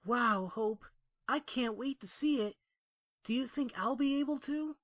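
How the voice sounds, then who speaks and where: A woman's voice: fairly high pitch, measured pace, dry recording, studio quality. A woman voicing a timid, earnest young male unicorn colt with hesitant delivery, in a cartoon audio drama. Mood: wonder.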